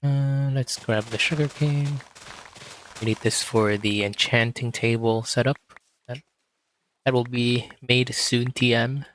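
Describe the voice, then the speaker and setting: A man with a hoarse voice, quietly talking himself through a game as he plays, in a relaxed, slow, muttering way. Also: medium pitch, a little hiss or hum. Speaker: gaming video host. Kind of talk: casual gameplay commentary.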